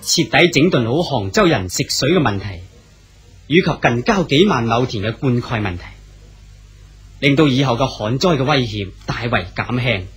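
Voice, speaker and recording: Cantonese guy narrating a radio drama, husky, expressive pitch, storyteller vibe. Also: moderate pace, soft background music. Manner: storytelling delivery.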